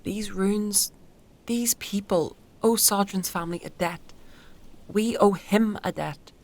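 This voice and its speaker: An actress playing an earnest, burdened woman in naturalistic dark fantasy audio drama dialogue. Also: medium pitch, slow pace. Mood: sombre, confiding.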